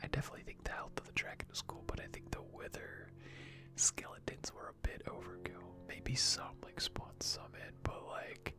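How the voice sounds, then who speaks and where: A person whispering casual, rambling ASMR gaming commentary in a hushed, chatty way, close to the microphone. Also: moderate pace. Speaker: video game streamer. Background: music.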